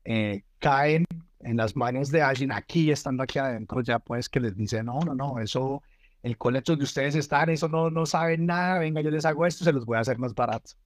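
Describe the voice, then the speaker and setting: A man co-hosting a talk show, relaxed and conversational. He often chimes in with brief words of agreement. His voice is of middling pitch, recorded close and dry. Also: no room sound. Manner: relaxed, quick interjections of agreement. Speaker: co-host.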